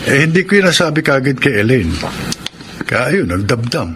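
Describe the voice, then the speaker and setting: An actor with a Filipino accent playing a defensive, soft-hearted breadwinner husband in a radio drama. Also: male voice, mid-range voice, very animated, moderate pace, lightly acted. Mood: troubled, hesitant. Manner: hesitant explanations, defensive. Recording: noisy radio drama recording.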